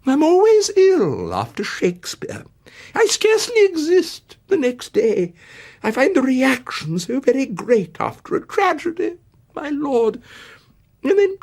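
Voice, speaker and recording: A man's voice: extremely high pitch, wildly swooping pitch, slow, darkish tone, voice pushed up, exaggerated voice. A man playing a languid, delicate society lady with an affected, gushing manner in a theatrical storytelling. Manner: affected, swooning.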